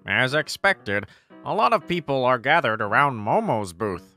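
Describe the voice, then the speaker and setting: Man as animated, teasing young friend. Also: medium pitch, moderate pace, soft background music. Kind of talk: scripted manga dialogue.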